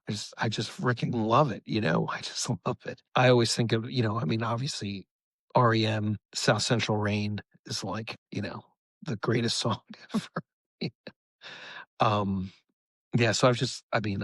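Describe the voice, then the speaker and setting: A man talking casually as a musician guest on a podcast, effusive and halting, with many fillers. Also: medium pitch, no room sound, poor audio.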